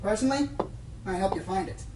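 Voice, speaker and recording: A woman playing a cynical, worldly-wise pony news reporter in a radio play, brisk and condescending. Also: mid-range voice, animated, noisy background, lightly acted. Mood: advising. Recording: roomy, off-mic, faint music behind.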